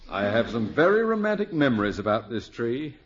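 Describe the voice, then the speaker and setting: An actor playing a haughty, vain middle-aged neighbor with deliberate phrasing, in 1950s radio comedy style. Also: male voice, mid-range voice, big pitch swings, natural voice. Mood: smug, nostalgic. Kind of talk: 1950s radio sitcom acting. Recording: dull old 1950s radio recording.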